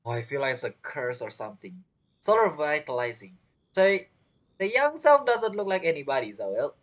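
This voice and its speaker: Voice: medium pitch, big pitch swings, normal speed, fairly bright tone. A game streamer giving casual gameplay commentary, reacting and thinking aloud. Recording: faint game music behind.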